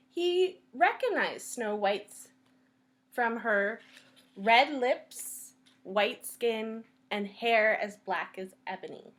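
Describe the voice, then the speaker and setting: A woman reads a fairytale to children in a fairly high voice. Her intonation rises and falls widely, and she goes slowly, pausing often between phrases. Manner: deliberate phrasing with frequent pauses. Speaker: storyteller at a library. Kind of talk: children's fairytale read-aloud.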